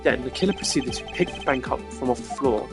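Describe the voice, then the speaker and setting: A game streamer voicing a composed, haughty male prosecutor with a formal, pompous, measured delivery. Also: medium pitch, over music, lightly acted. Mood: measured, explanatory.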